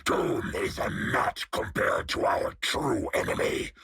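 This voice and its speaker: A pompous godlike warrior in a video game. He declaims in a forceful, rasping voice with huge swings of pitch. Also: huge pitch swings, unhurried, full character voice. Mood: grave, scornful. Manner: grandiose, declamatory.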